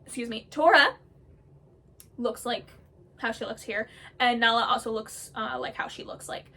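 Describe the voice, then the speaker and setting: A woman with a high, bright voice talks casually to her viewers about the characters she made up. She gushes and gets excited, and she fills her pauses with lots of "um"s. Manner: gushing and excitable, many "um" fillers. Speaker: storyteller vlogger.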